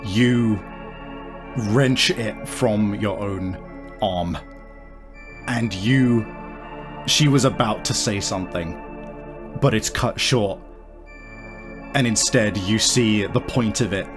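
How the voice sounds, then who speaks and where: A man improvising tabletop game narration as game master, describing things vividly and dramatically in a halting way. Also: fairly low pitch.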